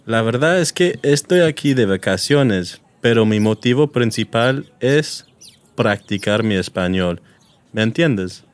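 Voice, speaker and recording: A man with a London accent, hosting a Spanish lesson and speaking clearly, at a teaching pace. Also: medium pitch, lively intonation, no room sound. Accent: London English. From England. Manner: friendly, clear, teaching pace with repeat-after-me pauses. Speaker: language course host. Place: outdoors in a public garden.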